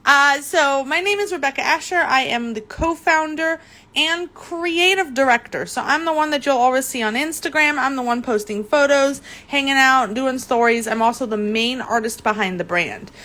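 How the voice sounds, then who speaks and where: A woman's voice: high-pitched, normal speed, bright, forceful tone. Chatty young woman hosting a live craft demo. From Germany. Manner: chatty, greets viewers by name, often stumbles on words. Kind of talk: casual live demo chat. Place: indoor craft table.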